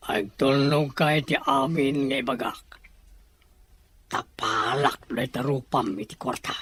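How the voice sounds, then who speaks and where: A man playing a scheming, secretive, moneyed boss in an Ilocano audio drama, with a husky voice and a Filipino accent. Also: medium pitch, a little slow, fairly bright tone. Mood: secretive, commanding.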